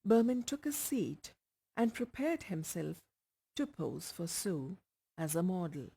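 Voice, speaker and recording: A woman's voice: lowish voice, expressive, measured pace, gravelly, dark tone, slightly rough. A woman with an Indian accent reading a short story aloud as scripted narration. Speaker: audiobook reader.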